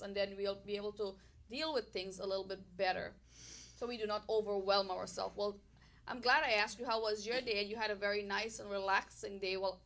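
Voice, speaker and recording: A woman's voice: mid-range voice, fairly bright tone. A woman with a Caribbean accent hosting a casual health talk show, greeting viewers and reading their comments in an upbeat way. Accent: Caribbean English.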